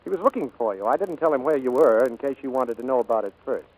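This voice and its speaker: Casting: man playing devoted aircraft builder, mid-pitched, animated range, gentle, hesitant, 1940s radio style. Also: fast talker, narrow, tinny audio, close to an everyday voice. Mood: tender, persuasive. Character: kindly, earnest male plane builder, quietly devoted. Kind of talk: 1940s radio drama acting.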